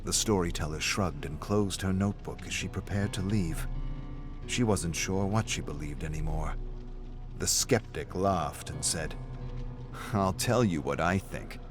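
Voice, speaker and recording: Guy narrating a horror tale, lowish voice, deliberate and dramatic, loud music behind him.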